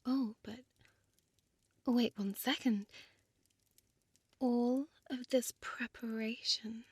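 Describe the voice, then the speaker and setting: A woman's voice: a little high, steady tone, drawn-out words, slightly rough, no echo, heavily acted. A woman playing a seductive, menacing female vampire in an intimate audio roleplay, toying with her prey in a teasing half-whisper and archaic wording. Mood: condescending, menacing.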